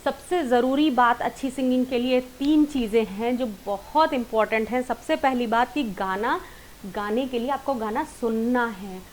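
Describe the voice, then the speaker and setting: A woman's voice: a little high, moderate pace, a little hiss or hum, rough recording. A woman with an Indian accent giving an informal singing lesson online, explaining directly to viewers and mixing in English terms. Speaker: singing teacher.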